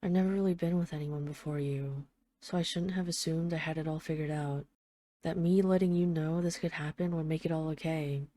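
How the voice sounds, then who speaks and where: A woman playing an apologetic, lovesick mafia boss in hiding, speaking in an earnest, halting, confessional way, as in an intimate audio roleplay phone call. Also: sits low, steady tone, dark tone, no echo, close to an everyday voice. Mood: regretful, vulnerable.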